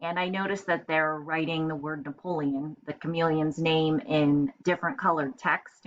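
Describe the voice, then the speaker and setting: Low-voiced woman reading a storybook aloud. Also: fairly bright tone, muffled recording. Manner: instructive, thinks aloud in simple terms. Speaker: teacher reading aloud to pupils. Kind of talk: storybook narration with explanatory asides.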